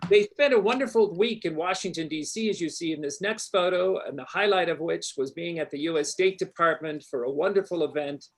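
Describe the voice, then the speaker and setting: A man hosting an online graduation ceremony for a university journalism school, with a warm, upbeat, formal delivery. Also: a little high, brisk, hardly any pauses. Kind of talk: formal welcoming address.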